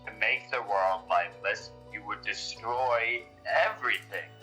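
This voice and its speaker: A woman's voice: rumbling low, a little slow, sharp tone, low-quality recording. A woman in an in-character panel discussion, talking in a dry, pointed, challenging manner. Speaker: podcast panelist in character as a researcher. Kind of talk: semi-improvised in-character discussion of a horror audio drama. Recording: music playing behind the voice. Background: music.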